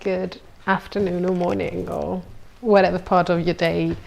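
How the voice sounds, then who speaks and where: Chatty goldsmith woman with gravelly undertone. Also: on the low side, little pitch movement, moderate pace, darkish tone, slight background noise. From Italy. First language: Italian. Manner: chatty, self-deprecating, with frequent ums and small laughs. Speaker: goldsmith streaming from her workshop. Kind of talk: casual live-stream chat while working.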